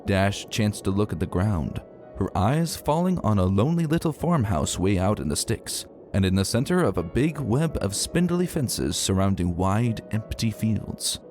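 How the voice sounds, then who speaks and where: A man narrating a dramatic story in an expressive, emphatic and colloquial way. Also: lowish voice, normal speed. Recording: soft music behind narration.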